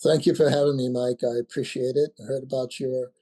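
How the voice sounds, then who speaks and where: A man around sixty, from Nigeria, talking as an interview guest and often pausing with ums and restarts. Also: medium pitch, little pitch movement, warm tone, rough recording. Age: about 60. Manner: hesitant, many ums and restarts. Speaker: guest, company founder. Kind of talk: casual interview talk.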